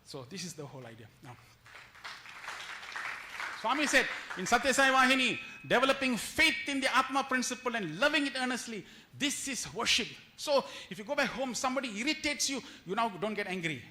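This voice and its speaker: A man speaks in a notably high, bright and forceful voice. He delivers a spiritual lecture like a storyteller, slipping into quoted lines and rhetorical questions. Key phrases come back again for emphasis. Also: sing-song, brisk, a little hiss or hum. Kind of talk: devotional lecture to an audience.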